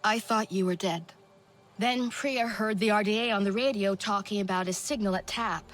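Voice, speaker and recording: An actress playing a mature, guilt-laden resistance leader in a video game, solemn, halting and reassuring. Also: female voice, mid-range voice, close to an everyday voice. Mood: somber. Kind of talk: video game cutscene acting.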